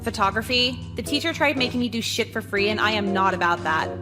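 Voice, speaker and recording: A woman playing a sarcastic, contemptuous teenage girl in a visual novel game, with a deadpan, cutting delivery. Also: medium pitch, brisk, lightly acted. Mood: bored, dismissive. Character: sardonic teenage girl, contemptuous new kid at school. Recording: music behind the voice. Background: game music.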